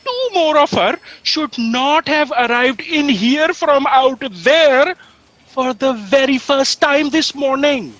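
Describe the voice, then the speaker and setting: A woman playing an earnest, long-suffering copy aide in a comedic audio drama, deliberate and dry with an anxious urgency. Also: high voice, fairly bright tone, no room sound, rough recording.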